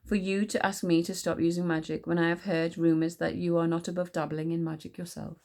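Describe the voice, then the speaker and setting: Woman reading as a calm, sardonic enchanter king. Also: on the low side, a little fast, lightly acted. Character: wry, composed male enchanter king.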